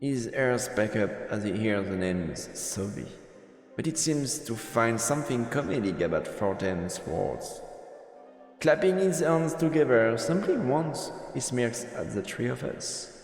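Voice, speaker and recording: A man streaming a visual novel, reading the narration aloud in an expressive storyteller manner with asides. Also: medium pitch, a little slow. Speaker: streamer, let's player. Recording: echoey reverberant room, music behind. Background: game music.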